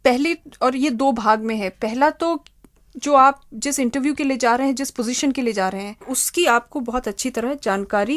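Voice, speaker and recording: A woman giving methodical, step-by-step advice as an interviewed expert. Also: on the high side. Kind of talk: interview answers, explanatory advice.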